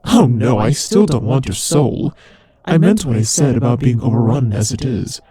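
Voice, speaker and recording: A man voicing a haughty, teasing demon lord in an ASMR roleplay, imperious and condescending, with a husky voice. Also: medium pitch, lively intonation, moderate pace, dark tone, dry recording. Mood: businesslike, sly. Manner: imperious, condescending, playfully taunting. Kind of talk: ASMR roleplay audio drama addressed to the listener.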